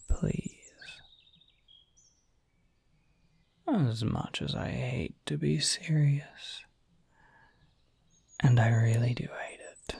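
A man's voice: medium pitch, no room sound, exaggerated voice. A man playing a suave, teasing incubus demon in a whispered ASMR roleplay, sly and intimate, close to the microphone. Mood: grave, cautioning. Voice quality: breathy, soft whisper.

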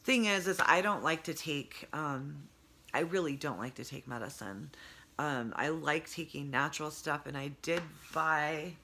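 A woman in her forties with a congested, hoarse voice, rambling through a casual video diary with lots of fillers, recorded on a close phone camera at home. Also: sits low, a little slow, sharp tone, slight background noise. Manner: rambling, many fillers, talks with her hands. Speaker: vlogger. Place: home room with low sloped ceiling. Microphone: phone camera close up, earbud headset.

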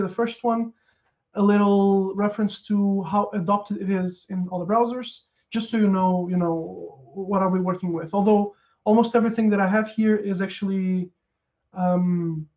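A Portuguese man in his thirties gives a relaxed technical talk from a small room at home, speaking into a headset and filling gaps with ums and uhs. His voice is mellow and warm. The sound is dull and lo-fi, like audio from a video call. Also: sits high. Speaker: conference speaker.

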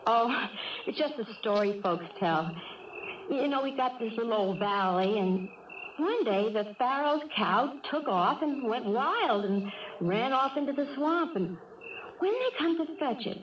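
A woman's voice: fairly high pitch, expressive. A woman acting a sweet, hesitant small-town country girl with a Southern US accent in a 1970s radio drama. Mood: folksy storytelling. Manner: folksy, halting. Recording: dull, noisy 1970s radio recording.

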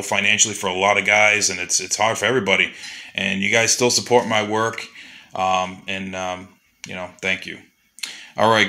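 A man's voice: lowish voice, sharp tone. Chatty man giving a shop update. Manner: loose and chatty, many fillers. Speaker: custom lure maker. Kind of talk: casual shop update to customers. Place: indoor workshop.

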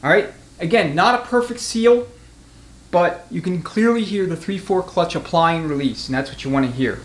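A man's voice: high voice, normal speed. A man giving a hands-on mechanic's tutorial in a matter-of-fact, conversational way. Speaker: transmission mechanic, instructor. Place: indoor garage workshop. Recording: echoey garage with clear background noise.

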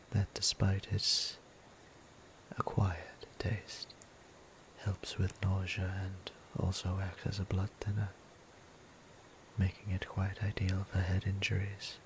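A man playing a gentle, courteous vampire priest in an ASMR roleplay, speaking softly and breathily in a formal, soothing way. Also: low voice, slight background noise, low-quality recording. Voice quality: soft, breathy near-whisper. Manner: slow, deliberate, formal, soothing. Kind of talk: intimate ASMR roleplay acting.

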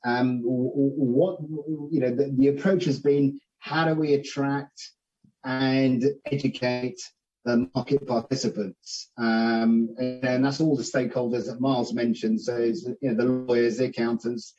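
A man's voice: mid-range voice, steady tone, a little slow, mellow, soft background music. A man in his forties answering a panel question in a chatty way, full of "you know" and restarts, heard over a video call. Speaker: financial centre executive on a panel. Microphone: webcam on a video call. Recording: dull, distant video call audio.

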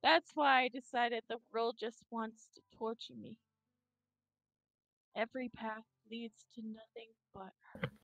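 A depressed teenage schoolgirl from a visual novel, read aloud by a woman, with a high voice and unhurried delivery. She sounds hurt and self-effacing, sorrowful in every phrase, recorded close and dry. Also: steady tone, fairly bright tone, no echo, highs cut off. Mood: anguished. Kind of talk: staged reading of a visual novel.

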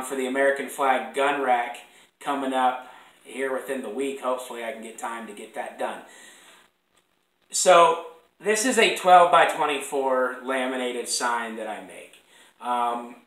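A man in his thirties with a hoarse voice from a cold, casually explaining how he lays out a sign in his woodworking shop, rambling and correcting himself as he goes. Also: medium pitch, fairly bright tone. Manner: rambling, self-correcting, apologetic asides. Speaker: woodworking instructor. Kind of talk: casual workshop demonstration. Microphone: fixed camera across the workbench. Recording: echoey shop room.

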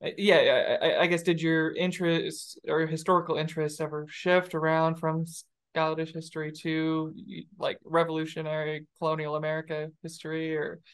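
A man's voice: a little high, level tone, normal speed, clean tone. A young man hosting an interview, asking questions hesitantly with many restarts, over a video call from a room with bookshelves. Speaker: interview host.

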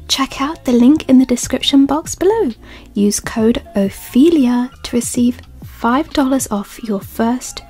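A woman reading a sponsor segment in a soft, partly whispered ASMR style. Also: on the high side, slightly rough. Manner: gentle, partly whispered, with soft tasting sounds. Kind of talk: soft-spoken ASMR ad read.

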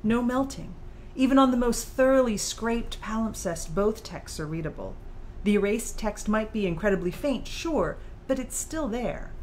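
A woman's voice: fairly high pitch, animated, normal speed, a little mellow, a little hiss or hum, close to an everyday voice. Woman acting a probing, composed author-mentor. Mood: explaining. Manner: didactic, precise, challenging. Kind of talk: audiobook dialogue acting.

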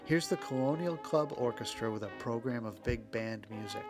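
A man narrating a scripted documentary podcast in a measured way, with dramatic pauses. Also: mid-range voice. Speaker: podcast host. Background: music.

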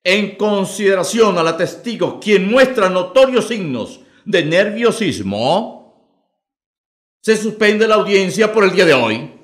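An actor playing a stern, authoritative judge in a radio courtroom drama, solemn and formal. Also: male voice, high-pitched, animated, unhurried. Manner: solemn, commanding. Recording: roomy, echoing sound.

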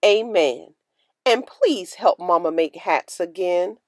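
A woman's voice: fairly low pitch, expressive, takes it slowly, dry recording, clean studio sound, exaggerated voice. A woman voicing an eager, earnest young schoolgirl in a children's storybook, with a hopeful manner. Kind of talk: storybook character voice.

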